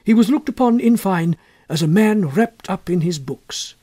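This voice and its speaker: A man reading a literary story as an audiobook narrator, measured and expressive in his storytelling. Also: high-pitched, slightly rough. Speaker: audiobook reader.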